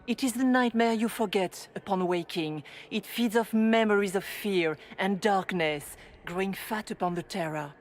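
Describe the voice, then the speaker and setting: A woman playing a serene spirit in the guise of a holy leader, speaking in a measured, formal way for a video game. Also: mid-range voice, a little hiss or hum, lightly acted. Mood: solemn, calm.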